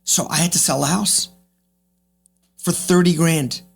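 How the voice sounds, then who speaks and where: Man in his fifties, gravelly edge. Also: fairly high pitch, fairly even intonation, unhurried, soft background music. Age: 50s. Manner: emphatic, repeats phrases for effect. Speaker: podcast guest, real estate agent. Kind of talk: reflective interview talk.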